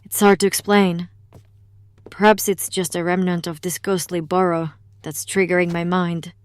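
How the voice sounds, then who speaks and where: A woman plays a guarded, casual demonologist in an audio drama. Her voice is lowish and smooth, understated, recorded dry and close. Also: flat delivery, normal speed, dry recording, close to an everyday voice.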